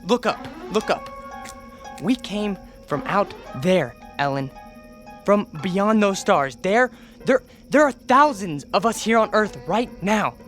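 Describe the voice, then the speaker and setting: An actor playing a desperate young alien man posing as human, speaking urgently and haltingly in a radio drama. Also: high voice, expressive, a bit bright, faint music behind, exaggerated voice. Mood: grave, revealing.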